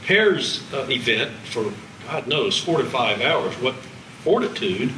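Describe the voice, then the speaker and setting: A man's voice: medium pitch, very animated, slow, pushed and bright. An older American man giving a public lecture with slides, dropping wry, jokey asides. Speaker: music college lecturer. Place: museum lecture room. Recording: echoey hall, distant, faint background noise.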